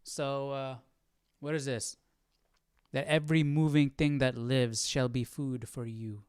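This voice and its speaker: A man's voice: mid-range voice, level tone, a little slow, clean tone, dry recording, studio quality. A man casually hosting a live Bible study, reading and musing aloud with informal, questioning commentary. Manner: informal, questioning, thinking out loud.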